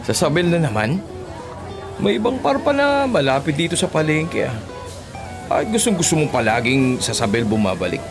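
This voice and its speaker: Animated Filipino butcher buddy, teasing. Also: male voice, a little high, moderate pace, over music. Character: teasing male market butcher, cynical streetwise buddy. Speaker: radio drama actor. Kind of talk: Filipino radio drama acting, colloquial banter.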